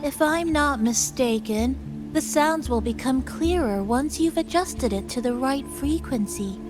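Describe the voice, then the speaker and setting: A woman voicing a self-assured, teasing young woman in a video game, with a knowing, measured delivery. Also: high voice, over music. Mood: knowing, amused. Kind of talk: video game character acting.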